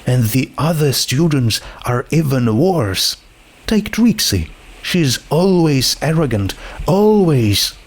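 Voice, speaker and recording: A man's voice: fairly high pitch, very animated, slow pace, pitched above the performer's own voice, full character voice. A man voicing an earnest, troubled teenage girl with a hesitant, searching manner in a story reading. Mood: frustrated, uneasy. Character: troubled, earnest teenage girl doubting her world is real. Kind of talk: fan fiction character reading.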